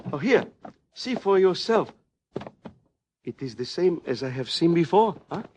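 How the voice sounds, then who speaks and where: An actor playing a polite, serene, shrewd Chinese merchant in a radio western, speaking formal, deliberate broken English with a Chinese accent. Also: male voice, a little high, very animated, a little mellow, slight background noise. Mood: courteous, innocent. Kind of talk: old-time radio western acting. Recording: muffled old radio recording.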